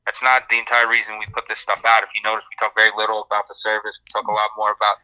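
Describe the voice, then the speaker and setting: A man's voice: lowish voice, completely flat delivery, rapid-fire, pushed and bright, clean tone, no echo, low-quality recording. A man co-hosting a live credit podcast, explaining answers to listener questions at length and restarting his words often. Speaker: credit repair co-host.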